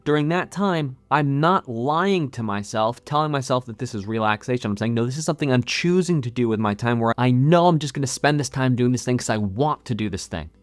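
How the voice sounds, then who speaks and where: Higher-voiced man coaching, very dry recording. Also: no echo. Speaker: music coach and channel host. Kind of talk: motivational talk to camera, explaining.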